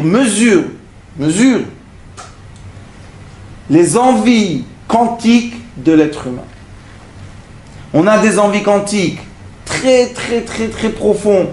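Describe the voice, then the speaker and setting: A man teaching a Torah lesson as a rabbi, telling little stories with acted-out dialogue and lots of rhetorical questions. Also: high-pitched. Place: lecture hall. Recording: echoey room.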